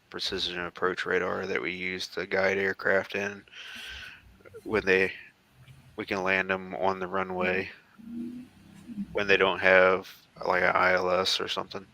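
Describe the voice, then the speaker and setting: American man, casual rambling talk, gravelly fry, bright forceful tone, unhurried and slow, halting delivery. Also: deep voice, monotone, no echo. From southeastern United States. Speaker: guest, former Marine air traffic controller. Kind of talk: casual chat, talking through old photos.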